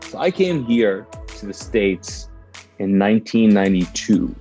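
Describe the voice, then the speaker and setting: A man's voice: on the low side, animated, a little slow, warm tone, breathy edge, soft background music. A middle-aged man from Kuwait, a podcast guest telling personal stories conversationally, with asides and self-corrections.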